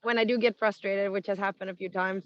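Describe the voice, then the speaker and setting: Rock singer lady, raspy and hoarse, frank, laughs a lot, kinda dull dry recording. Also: mid-range voice, steady tone, fast, no room sound. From Sweden. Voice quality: naturally raspy voice. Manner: frank, laughs often, many fillers and restarts. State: extra hoarse after touring. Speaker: rock singer, interview guest. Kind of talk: casual interview chat.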